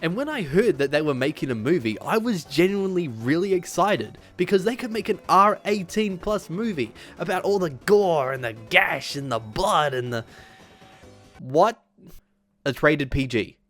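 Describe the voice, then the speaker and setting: Animated man reviewing with sarcastic emphasis. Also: a little high, faint music behind. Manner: sarcastic, exaggerated emphasis and drawn-out words. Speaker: video essay host and reviewer. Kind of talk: opinionated scripted video review with casual asides.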